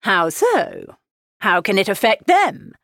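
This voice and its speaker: A woman voicing a middle-aged husband and father, dry and teasing, with a deadpan, unhurried, sardonic manner, in an audiobook. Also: medium pitch, dry recording. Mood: dry curiosity. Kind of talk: dramatised audiobook character reading.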